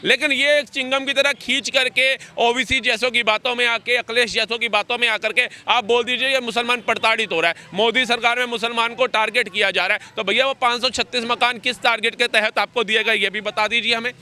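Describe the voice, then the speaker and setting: High-pitched, forceful man arguing. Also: steady tone, brisk, hardly any pauses. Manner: combative, emphatic, rhetorical questioning. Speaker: interviewee giving political opinions. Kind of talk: impassioned street interview argument.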